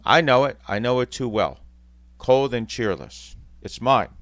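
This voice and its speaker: A man voicing Scrooge, a bitter old miser, speaking in stern, halting protests. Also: mid-range voice, expressive, fairly bright tone, no echo, voice pushed up. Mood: dismayed.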